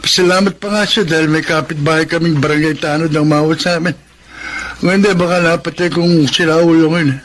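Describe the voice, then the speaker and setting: A man's voice: a little high, steady tone, a little fast, fairly bright tone, a little hiss or hum, close to an everyday voice. A Filipino man playing a jealous, hot-headed poor young man in love in a radio drama, emotional and accusatory. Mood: wounded, defiant.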